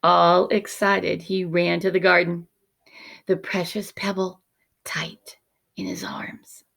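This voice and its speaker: A woman teacher narrating a children's picture book expressively, measured and dramatic, often dropping to hushed tones. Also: fairly low pitch, little pitch movement, gravelly.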